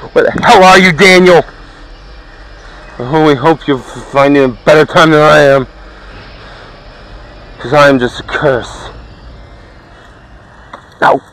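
Brief: man as panicked survivor, high lively voice, slow, pleading. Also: exaggerated voice, low-quality recording. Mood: grieving. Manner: frantic shouting and pleading. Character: panicked young male survivor filming the ordeal. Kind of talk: found-footage acting.

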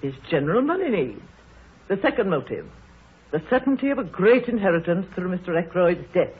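Raspy, excitable village busybody. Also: female voice, fairly low pitch, a little fast, full character voice. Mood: emphatic, certain. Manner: emphatic, bossy, fussy. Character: nosy, know-it-all village woman, opinionated amateur sleuth. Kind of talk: 1930s radio drama acting. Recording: muffled, scratchy 1930s radio broadcast.

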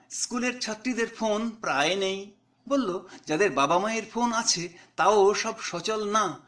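A man's voice: sits high, fairly bright tone. A man reading his own short story in a conversational way, with dialogue.

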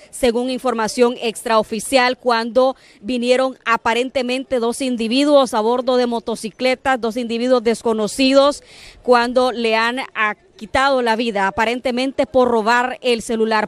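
A woman's voice: on the high side, no echo. A woman in her thirties with a Honduran accent, giving a somber live field report outdoors into a handheld mic, with frequent fillers. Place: outdoors on a street by a barbershop. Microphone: handheld microphone.

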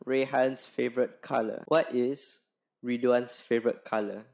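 A man's voice: medium pitch, fairly even intonation, a little slow, vocal fry. A man hosting and reading out questions to his colleagues. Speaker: host asking questions. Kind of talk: read-out interview questions.

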